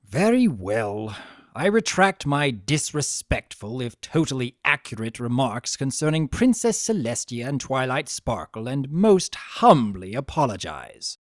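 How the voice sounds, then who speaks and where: An old male dragon-creature of chaos from a storybook, acted out by a reader. He is sly and sardonic, with theatrical flourishes and big swings in pitch. The sound is dry and close. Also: sing-song, a little slow, dry recording. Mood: mock contrite. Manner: dry, sardonic, mock-dramatic. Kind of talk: fan fiction dramatic reading.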